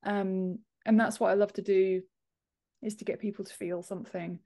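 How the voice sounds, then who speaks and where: Female, 30s, mid-range pitch, informal interviewee on a video-call podcast. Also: steady tone, moderate pace, mellow. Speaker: podcast guest, author and book formatter. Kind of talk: casual interview chat. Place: home office room, indoors, on a video call.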